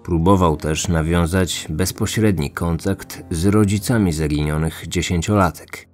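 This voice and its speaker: Low-voiced man narrating true crime. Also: fairly even intonation, unhurried, a little mellow, soft background music. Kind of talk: scripted documentary narration.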